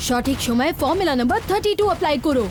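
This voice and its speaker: A woman's voice: sits high, expressive, very fast, music in the background. A woman voicing a brave, confident boy superhero in a kids' cartoon, speaking firmly and earnestly. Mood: determined, confident. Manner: firm, earnest, declarative.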